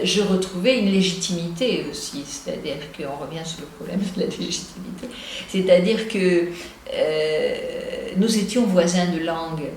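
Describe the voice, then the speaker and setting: A woman with a Marseille accent speaks in a thoughtful, halting way and restarts her sentences often. The room around her is strongly echoey, with a faint hiss underneath. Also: lowish voice, darkish tone. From Marseille, southern France. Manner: thoughtful, with many hesitations and restarts. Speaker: poet and translator. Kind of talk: reflective interview talk.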